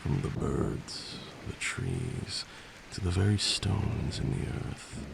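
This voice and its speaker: A man playing a patient, stern vampire lord who teaches magic in a measured, formal ASMR roleplay. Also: low voice, big pitch swings, gravelly, background noise, full character voice. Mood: solemn, instructive.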